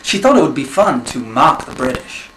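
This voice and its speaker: A man hosting a comedy special, presenting mock fun facts with an awkward, halting manner. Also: mid-range voice, big pitch swings, fairly bright tone. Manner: awkward, halting between segments. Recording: echoey room with faint noise.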